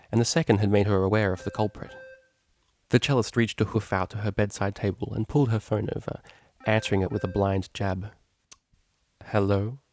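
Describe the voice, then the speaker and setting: A man's voice: on the low side, a little mellow, slight background noise. A man reading fan fiction aloud as scripted story narration.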